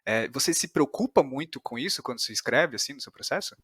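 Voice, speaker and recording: A man's voice: mid-range voice, animated, fast talker, fairly bright tone, husky, no room sound, studio quality. A Brazilian man chatting casually as the interviewer on a podcast.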